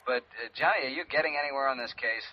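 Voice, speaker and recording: A man's voice: mid-range voice, fast talker, close to an everyday voice. Man acting chatty informant, muffled old radio. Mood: helpful, casual. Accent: American. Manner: chatty, obliging. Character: easygoing, helpful male informant on telephone. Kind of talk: mid-century American radio drama acting. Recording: dull vintage radio broadcast.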